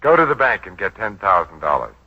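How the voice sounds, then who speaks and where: An actor playing a suave, ruthless crime boss in a 1940s radio drama, speaking in a smooth, coolly condescending way. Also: male voice, deep voice, big pitch swings, fairly quick, bright, forceful tone, heavily acted. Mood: menacing calm. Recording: muffled vintage 1940s radio broadcast.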